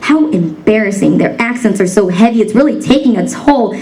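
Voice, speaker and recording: A woman's voice: mid-range voice, lively intonation, a little fast, warm tone, recorded from a distance, poor audio. A young woman from the United States performing a spoken word poem in a rhythmic, rhyming cadence, emphatic and defiant. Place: banquet hall stage.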